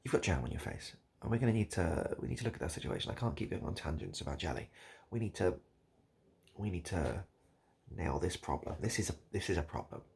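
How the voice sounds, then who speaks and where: A British man doing improvised, absurd comedy straight to the listener in a hesitant, repetitive, deadpan ramble. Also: low-pitched, fast, creaky, breathy edge. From United Kingdom. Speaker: comedic video host. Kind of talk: improvised absurd comedy roleplay addressed to the viewer.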